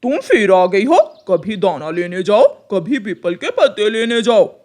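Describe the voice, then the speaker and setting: A woman's voice: medium pitch, big pitch swings, a little slow, a little mellow. A woman voicing a lazy, rude, boastful male crow in a children's cartoon, with a brash, dismissive manner. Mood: rude, irritated. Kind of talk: Hindi children's cartoon voice acting.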